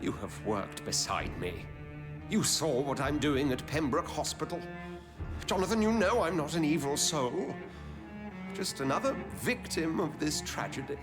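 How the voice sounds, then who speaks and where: Genteel, animated doctor, higher-voiced. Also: male voice, a little slow, music in the background. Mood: indignant, pleading. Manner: genteel, formal, pleading and evasive. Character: anxious, defensive English doctor with secretive scientific zeal. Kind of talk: dramatic video game cutscene acting.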